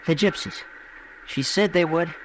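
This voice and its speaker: Distraught young farm lad, highish voice, rustic and halting; radio western with faint hiss. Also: male voice, a bit bright, rough recording. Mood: hurt, despairing. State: dazed, distraught. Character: anguished, heartbroken young frontier farm lad. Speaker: actor. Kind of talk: 1950s radio western acting. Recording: old radio broadcast, faint noise.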